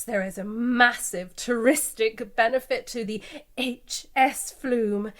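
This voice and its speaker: A breezy company spokeswoman, played by an actress, with a fairly high voice. She is evasive and airily confident, smoothing over every difficulty in the manner of a satirical radio news interview. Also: a bit bright. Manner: airy, confident, glossing over problems. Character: breezy, evasive female company spokeswoman pitching an absurd scheme.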